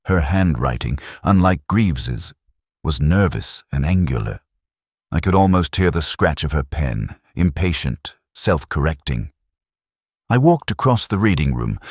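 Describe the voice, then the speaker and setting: A man playing a weary, literate police inspector, narrating a detective drama in a measured, melancholy, literary way. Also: very deep voice, very animated, darkish tone, breathy edge, no echo, clean studio sound, exaggerated voice. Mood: brooding, reflective. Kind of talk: scripted first-person detective narration.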